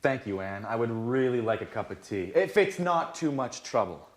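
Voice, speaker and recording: An actor playing a nervous, apologetic singer in a scripted sitcom for language learners, speaking anxiously and clearly. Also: male voice, mid-range voice, big pitch swings, moderate pace, close to an everyday voice. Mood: polite, unsure. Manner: anxious, self-doubting. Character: nervous, apologetic, clumsy male professional singer before a show. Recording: echoey room sound.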